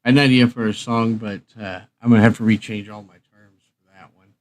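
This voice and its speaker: A man's voice: lowish voice, level tone, fairly quick. A man hosting a live stream, chatting casually to viewers in a rambling, folksy way.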